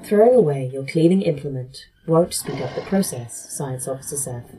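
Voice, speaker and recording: A woman acting a weary, coolly dry female A.I. in a sci-fi horror podcast, speaking in a measured deadpan. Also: a bass voice, slight background noise, low-quality recording.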